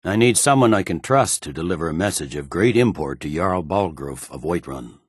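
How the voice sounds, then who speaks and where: A man's voice: sits low, animated, brisk, breathy edge, dry recording, studio quality. A man playing a stern, weary imperial general in a video game, speaking with clipped, brusque, formal authority. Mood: stern, businesslike. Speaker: military commander. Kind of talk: scripted video game dialogue.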